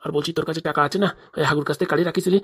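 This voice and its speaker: A woman voices a money-hungry village father from a comic cartoon, rattling along at breakneck speed in a lowish voice. He blusters and insists in colloquial, speech, always impatient and scheming. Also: fairly even intonation, racing, breathy edge. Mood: determined, blustering.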